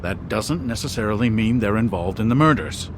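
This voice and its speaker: A man's voice: fairly low pitch, expressive, brisk, noisy background, natural voice. A man voicing a fussy, officious superior in an adventure game, with a prim, formal, reproving manner. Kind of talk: video game voice acting.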